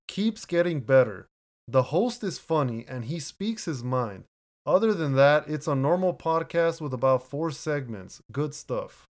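A man with a deep voice, co-hosting a casual podcast and reading listener reviews in an easygoing way, laughing often and restarting his words. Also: expressive, a little slow. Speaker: podcast co-host. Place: home room, recording remotely.

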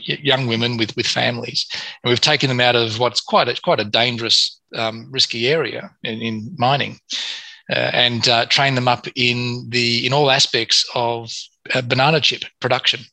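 A man's voice: mid-range voice, flat delivery, normal speed, bright, forceful tone, no room sound, low-quality recording. A man speaking as a mining company executive in a business interview, talking with many fillers and restarts.

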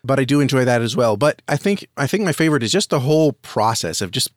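A man's voice: mid-range voice, expressive, fast, no room sound, clean studio sound. A man being interviewed on a podcast, explaining at length in a conversational way and often repeating words as he finds his phrasing. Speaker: podcast guest, audio drama producer and reviewer.

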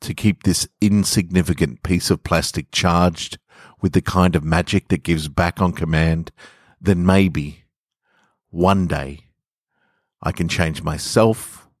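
Low male voice, podcast storyteller, measured phrasing, really dry sound. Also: steady tone, no room sound. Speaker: podcast host and story reader. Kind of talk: literary story narration and informal sign-off.

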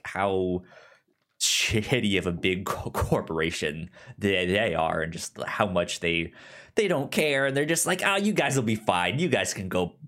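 A man in casual film discussion, stammering on syllables and often halting to rephrase as he goes. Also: on the low side, a bit bright. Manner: halting, rambling, circles back to rephrase. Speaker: film podcast host.

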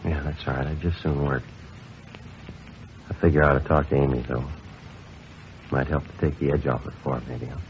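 A stoic radio cop, really deep voice, flat clipped delivery, muffled old broadcast w music behind. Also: male voice, fairly quick, pauses often, noisy background. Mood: subdued. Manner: deadpan, understated, terse. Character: stoic male police sergeant, grieving his longtime partner. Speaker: actor and narrator in a radio police drama. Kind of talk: 1950s radio acting, clipped scripted narration.

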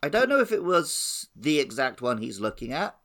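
A man's voice: on the high side, big pitch swings, moderate pace, fairly bright tone. A man co-hosting a gaming podcast, chatting casually with a cheeky, playful manner. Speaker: podcast host. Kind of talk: casual chat.

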